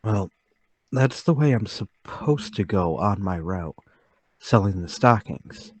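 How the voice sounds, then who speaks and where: Man playing a slow, husky, meek troubled salesman. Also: lowish voice, expressive, full character voice. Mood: anxious, unsure. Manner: hesitant, deferential. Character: meek, troubled male travelling stocking salesman with blackouts. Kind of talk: table read of a period murder mystery radio play.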